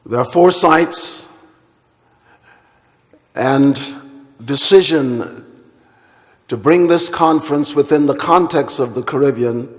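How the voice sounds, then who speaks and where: Guy giving a conference talk, fairly high voice, echoey big hall. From Guyana. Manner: measured, deliberate and formal, with long pauses. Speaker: conference speaker. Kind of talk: formal plenary address. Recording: hall reverb.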